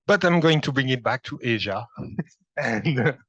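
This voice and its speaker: A man's voice: medium pitch, expressive, unhurried, fairly bright tone, husky, highs cut off. A man moderating a panel discussion, handing over with a playful, teasing tone.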